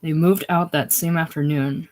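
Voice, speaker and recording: A man's voice: on the high side, fairly even intonation, moderate pace, darkish tone. A man reading literary war fiction aloud as an audiobook narrator, now and then stumbling and rereading a phrase. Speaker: audiobook reader.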